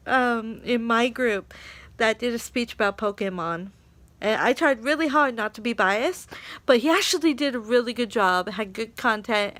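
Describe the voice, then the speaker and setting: A woman's voice: medium pitch, a bit bright, no echo. A young woman telling a story from her day in a casual vlog. She laughs often and acts out what people said. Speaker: English teaching assistant.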